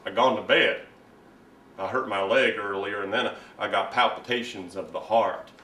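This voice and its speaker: A man's voice: lowish voice, pushed and bright, pitched below the performer's own voice. Man playing timid Southern mama's boy, roomy recording. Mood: shy, eager. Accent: American South. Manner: halting, earnest, naive. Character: timid, whiny, sickly Southern mama's boy, childishly earnest. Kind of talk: comic stage monologue performance. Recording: roomy, distant mic with hiss.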